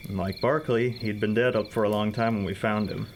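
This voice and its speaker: A man's voice: lowish voice, fairly even intonation, brisk, slight background noise, close to an everyday voice. Man acting brusque young trooper, radio play. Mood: matter-of-fact. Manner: brusque, reassuring. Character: protective, dismissive male state trooper and sweetheart. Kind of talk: scripted radio drama acting.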